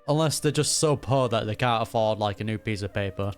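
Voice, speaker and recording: A man's voice: on the low side, fairly quick. A man giving casual, slangy gaming reaction commentary in a joking, conversational way. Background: game music.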